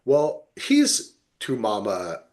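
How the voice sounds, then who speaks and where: Low-voiced man chatting casually about TV. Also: huge pitch swings, extremely slow, a little mellow. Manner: enthusiastic, informal, slangy. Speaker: podcast host. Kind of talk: casual TV review chat.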